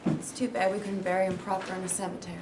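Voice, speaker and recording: A woman's voice: mid-range voice, level tone, racing, close to an everyday voice. A woman playing a poor, resigned farmer's wife in a staged period reading, with terse replies. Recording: echoey room, far off, clear noise.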